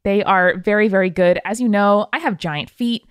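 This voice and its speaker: Chatty american lady on a podcast, mid pitch, gushy and informal, really dry sound. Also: normal speed, smooth, no room sound. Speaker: podcast co-host. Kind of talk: casual chat and conversational host-read ads.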